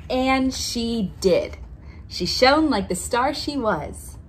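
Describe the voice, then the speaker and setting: A woman's voice: a little high, expressive, slow pace. A woman reading a children's picture book aloud expressively, drawing out key words for emphasis. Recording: noticeable background noise.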